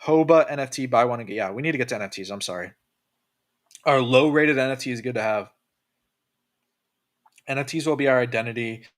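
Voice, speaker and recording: A man's voice: medium pitch, fairly quick, long pauses, no room sound, clean studio sound. A young man livestreaming about crypto, reading out chat comments and answering in a loose, profane way, with lots of fillers and restarts, tired from staying up late.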